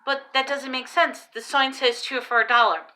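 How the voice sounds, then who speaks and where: A woman's voice: sits high, steady tone, normal speed, sharp tone, pitched above the performer's own voice. A woman voicing a confused female shopper who keeps insisting on the price. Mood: insistent. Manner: puzzled, insistent.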